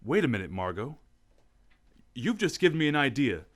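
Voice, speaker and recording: An actor playing a calm, thoughtful gentleman detective in a radio drama. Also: mid-range voice. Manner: measured and thoughtful.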